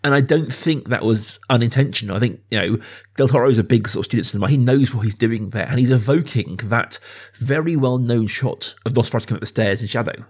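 Smooth-voiced man in his thirties, enthusiastic. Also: medium pitch, fast, darkish tone. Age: 30s. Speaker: film podcast host. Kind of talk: casual film discussion.

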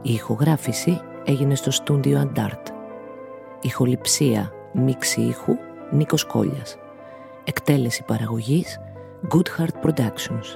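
A man's voice: mid-range voice, gravelly. A man reading scripted closing credits for a radio play as its announcer. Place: studio. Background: prominent music.

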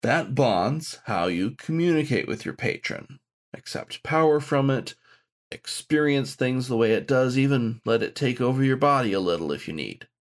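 A man's voice: mid-range voice, expressive, normal speed, pitched above the performer's own voice, natural voice. A man voicing a frank badger shaman who mentors in a blunt, informal, explanatory way. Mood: instructive.

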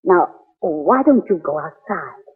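A thin, phone-like vintage radio recording carries a hard-edged woman. She is secretive and commanding, with a husky voice in terse 1940s drama delivery. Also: fairly low pitch, lively intonation, takes it slowly, soft background music, full character voice. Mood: curt, insistent. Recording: tinny, band-limited 1940s radio recording.

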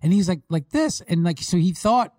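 A man co-hosting a casual sports talk show, telling animated stories and restarting his sentences often. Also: high voice, moderate pace, no room sound, studio quality. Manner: animated storytelling with many restarts. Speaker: podcast co-host, rules expert.

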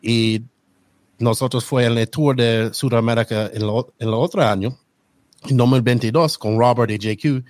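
A man whose first language is English, hosting a casual podcast in halting Spanish and slipping into English now and then. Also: medium pitch, steady tone, no echo. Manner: halting non-native Spanish with slips into English. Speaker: podcast host.

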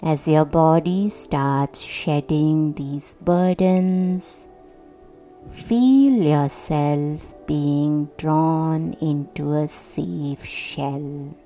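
A woman guiding a sleep meditation in a soothing, hushed, deliberate voice with long pauses. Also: low-pitched. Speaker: meditation guide. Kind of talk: guided sleep meditation, scripted narration. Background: soft meditation music.

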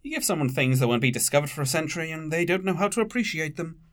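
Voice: mid-range voice, animated, fast talker, natural voice. An actor in an audio drama playing a polite, reserved time-travelling train captain with formal, slightly awkward phrasing. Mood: wry.

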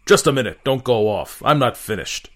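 A man's voice: medium pitch, expressive, brisk, voice pushed up, natural voice. A blustering spaceship captain from a science fiction storybook, played by a man reading aloud. He is blunt and bullying, and his raised, pushed voice makes every line a demand. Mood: taunting. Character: brash, gruff, bullying male spaceship captain.

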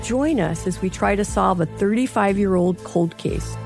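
A woman's voice: medium pitch, normal speed, vocal fry, darkish tone. A woman reading scripted promotional narration as a podcast host. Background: music.

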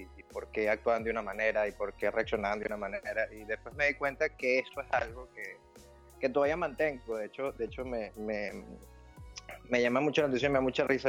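A man's voice: on the low side, fairly bright tone. A man from Venezuela with a Venezuelan accent, talking as a podcast guest in a reflective, grateful way. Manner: grateful, reflective, frequent "este" fillers. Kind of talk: casual conversational interview.

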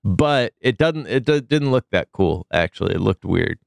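Sick male podcast host, lowish smooth voice, dry close mic, jokey. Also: lively intonation, normal speed, dry recording. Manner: loose, jokey, swooping emphasis. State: sick, deep voice. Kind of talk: casual comedic chat.

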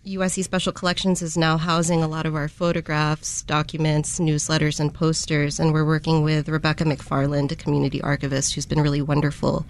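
A woman speaking conversationally as a guest in an interview. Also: low-pitched. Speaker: interview guest, community archive volunteer.

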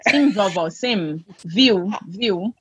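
A woman, co-hosting a podcast and telling family stories with lively impressions. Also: medium pitch, very slow, breathy edge. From Brazil. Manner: lively anecdote-telling, acts out family members. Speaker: podcast co-host. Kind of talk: casual chat.

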